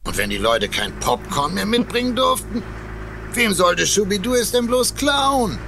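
Playful storyteller for a ghost tale for kids, bright voice, really animated. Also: male voice, sits high, moderate pace, vocal fry, a little hiss or hum, heavily acted. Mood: wry, playful. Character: wry male storyteller of a children's ghost tale. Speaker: narrator. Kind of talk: children's audio drama narration.

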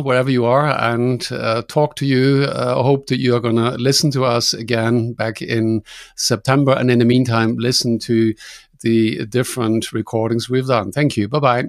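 A man's voice: medium pitch, steady tone, normal speed, no room sound, studio quality. A man co-hosting a podcast, talking casually and loosely with many fillers. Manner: rambling, many "you know" fillers. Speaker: podcast co-host. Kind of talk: casual chat.

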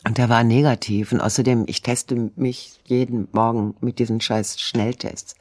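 A woman's voice: extremely low pitch, fairly even intonation, normal speed, a little mellow, dry recording, studio quality. Chatty woman with a cold. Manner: chatty, self-pitying, rambling. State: has a cold. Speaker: co-host. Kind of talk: casual chat.

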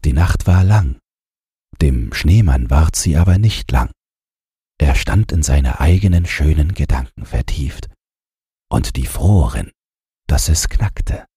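A man reading a bedtime storybook as an audiobook narrator, with a gravelly, husky voice and measured, drawn-out phrasing. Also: very deep voice, expressive, dark tone, dry recording, clean studio sound. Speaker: audiobook reader.

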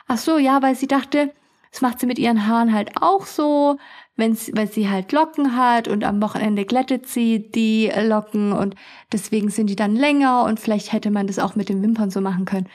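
A woman's voice: a little high, normal speed, darkish tone. A young adult woman telling funny stories as a podcast host, chatty and often laughing. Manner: chatty, laughs often, acts out customers' voices. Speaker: podcast host, beauty studio owner. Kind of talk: casual storytelling podcast.

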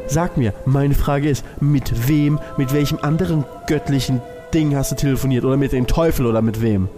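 A man's voice: medium pitch, moderate pace, music in the background. A man co-hosting a podcast, improvising casual comedic banter with a questioning, mock-sceptical way of talking. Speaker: podcast co-host.

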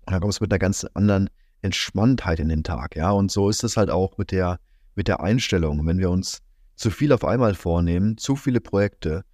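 Low-voiced man musing calmly, a bit rough. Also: fairly quick, dry recording, studio quality. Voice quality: slightly strained voice. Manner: calm, musing, many fillers and "ja". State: slightly under the weather. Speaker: podcast host. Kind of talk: reflective solo podcast monologue.

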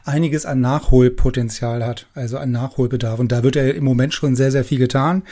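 A man's voice: medium pitch, fairly even intonation, a little fast, dark tone. A man chatting as an interviewer, putting his points tentatively and often checking for agreement. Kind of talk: casual interview chat.

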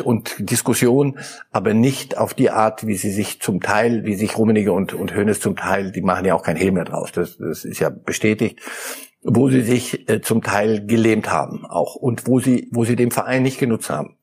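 A middle-aged man talking football as a pundit on a talk show, thoughtful and halting, often repeating words as he searches for them. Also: lowish voice, a little mellow, husky. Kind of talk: studio talk show discussion.

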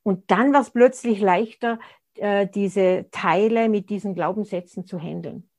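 A woman. Her pitch sits at a middling height. She talks thoughtfully in an interview, pausing and restarting as she finds her words. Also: a little mellow. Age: 60s. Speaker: interview guest. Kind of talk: reflective conversational interview.

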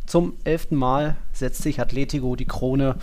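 A man's voice: mid-range voice, fairly even intonation, normal speed, darkish tone. A man co-hosting a football podcast, talking in a dry, matter-of-fact and analytical way. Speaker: podcast co-host. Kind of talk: casual football podcast chat.

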